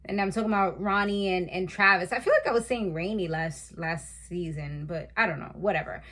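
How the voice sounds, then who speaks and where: A woman's voice: lowish voice, fairly quick, a bit bright. Chatty woman in her thirties recapping TV. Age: 30s. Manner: chatty, slangy, laughs at her own asides. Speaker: reality TV recap host. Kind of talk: casual recap commentary.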